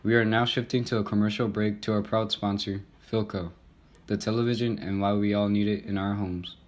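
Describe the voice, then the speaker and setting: Courteous 1920s talk show host acted by a man. Fairly low pitch, polite scripted interviewing. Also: little pitch movement, brisk, lightly acted.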